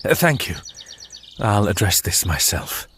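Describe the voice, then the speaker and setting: A man acts as a hot-headed, scheming young heir in an audio drama. He is sardonic and clipped, his lowish voice leaping very widely in pitch. Faint birdsong plays behind him. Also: very animated, unhurried, fairly bright tone. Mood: impatient, brash. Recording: faint background noise with birdsong.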